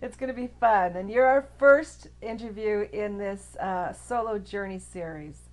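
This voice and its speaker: A woman's voice: medium pitch, a little slow, a little mellow. A woman hosting an interview over a video call, friendly and upbeat, with easy laughter. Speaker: interview host.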